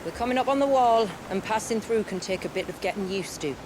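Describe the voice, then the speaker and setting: An actress voicing a confident, wry village guide in a video game, with an assured, teasing storyteller's manner. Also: female voice, mid-range voice, animated, quick pace, noisy background, close to an everyday voice. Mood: playful, assured. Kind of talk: dramatic video game acting.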